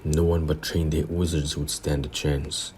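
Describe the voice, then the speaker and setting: A man playing a grave, pompous older government minister in an audio play, speaking in a measured, formal way. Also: very deep voice, little pitch movement, a little mellow, slight background noise. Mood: solemn.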